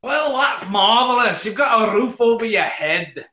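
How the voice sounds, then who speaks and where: An actor playing a wealthy, breezy, imperious composer with a plummy, theatrical manner in a 1940s-style radio comedy. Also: male voice, very high voice, expressive, measured pace, pushed and bright, heavily acted. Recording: echoey, dull room recording.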